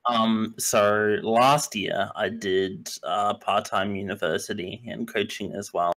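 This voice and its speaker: Hesitant young man, low voice. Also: steady tone, slow pace, a bit bright. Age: 20s. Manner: hesitant, many fillers like "um" and "like". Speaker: esports coach. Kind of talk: casual interview answers.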